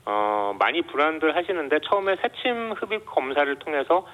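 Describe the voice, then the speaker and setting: A man, a medical doctor, calmly explains medical facts in a radio interview, pausing often with fillers. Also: on the high side. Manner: measured, explanatory, many filler hesitations. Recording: dull lo-fi radio sound.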